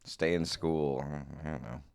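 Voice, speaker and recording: Deep, slightly hoarse male host. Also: fairly even intonation, a little slow, a little mellow. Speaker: podcast co-host. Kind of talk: casual podcast chat.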